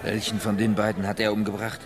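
An actor playing a hard police sergeant in a radio crime drama, curt and businesslike, in a noisy street scene. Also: male voice, fairly low pitch, flat delivery, fast, a crowd in the background, lightly acted.

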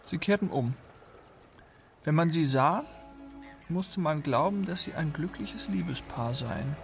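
A man's voice: on the high side, animated, measured pace, gravelly. A man reading scripted literary narration as a narrator in a radio drama. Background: music.

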